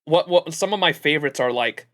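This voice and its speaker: Male streamer, slightly high bright forceful voice, effusive, sweary, casual commentary. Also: brisk. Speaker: livestream host. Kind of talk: casual livestream reaction commentary.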